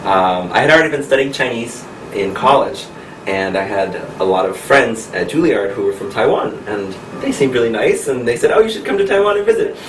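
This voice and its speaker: A man from the United States in his thirties, a pianist and coach answering interview questions in a friendly, unhurried way with frequent laughs. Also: mid-range voice, animated. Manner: friendly, laughs often, speaks slowly and clearly. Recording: echoey room recorded from a distance with noise.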